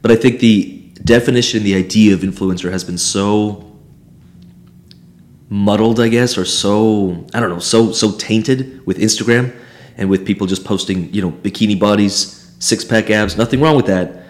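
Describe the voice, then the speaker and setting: Hoarse low-voiced man, co-hosting. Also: moderate pace. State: hoarse, losing his voice. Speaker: podcast co-host. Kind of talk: casual podcast talk.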